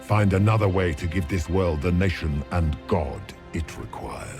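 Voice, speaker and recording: An actor playing an ancient, monstrous would-be god in a video game, speaking in grandiose, menacing, contemptuous oratory. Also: male voice, sits low, moderate pace, vocal fry, over loud music. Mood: wrathful. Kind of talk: theatrical video game villain acting. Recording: loud orchestral game soundtrack behind.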